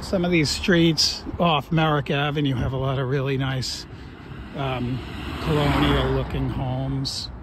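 Slow-talking man walking a suburban street. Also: medium pitch, steady tone. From Long Island, New York. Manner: slow, rambling reminiscing with fillers. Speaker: walking tour vlogger. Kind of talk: casual walking narration. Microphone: phone camera held while walking. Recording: outdoor traffic noise.

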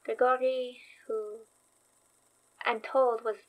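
An actress in a horror audio drama playing a thoughtful, wry young woman investigator recording a diary, reflective, halting and dryly understated. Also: fairly high pitch, level tone, rough recording. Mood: reflective, uneasy.

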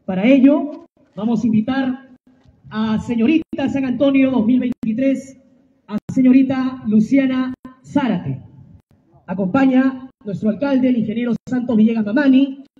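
A man serving as master of ceremonies, announcing a formal outdoor ceremony over loudspeakers in a slow, drawn-out way. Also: very high-pitched, slight background noise. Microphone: public address system heard from a distance. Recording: distant, dull PA sound with music.

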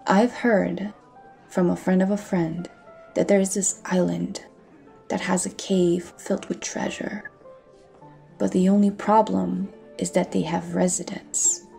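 Mellow, gravelly pirate captain played by a woman. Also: fairly low pitch, level tone, a little slow, faint music behind. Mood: sly, businesslike. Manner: cocky, flirtatious, addressing listener with pet names. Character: bold, teasing female pirate captain. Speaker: audio roleplay voice actor. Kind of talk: audio roleplay acting.

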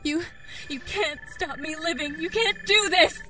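An actress playing a distraught but defiant captive woman in an audio drama, halting and pleading with raw emotion. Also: very high-pitched, sharp tone, faint music behind, full character voice.

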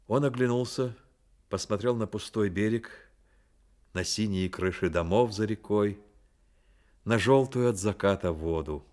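A man's voice: fairly low pitch, darkish tone, lightly acted. A man performing as the earnest, troubled narrator of a literary radio drama. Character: earnest male storyteller, troubled and sympathetic.

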